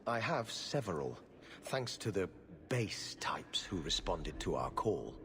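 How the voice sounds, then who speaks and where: Haughty official with swooping intonation. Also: male voice, sits low, big pitch swings, slow pace, fairly bright tone, heavily acted. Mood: weary, disdainful. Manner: disdainful, formal, dry. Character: haughty, weary male court official. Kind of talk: video game cutscene acting. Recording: reverberant hall, faint noise.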